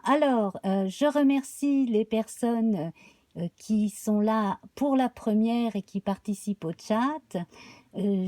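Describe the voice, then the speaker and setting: A woman reads tarot cards for a live audience, her voice slightly hoarse. She speaks slowly and haltingly, filling pauses with small sounds, in a warm, mellow tone. The recording is dry and close. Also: a little high, no room sound. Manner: slow, halting, many fillers. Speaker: tarot reader. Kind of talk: live tarot card reading.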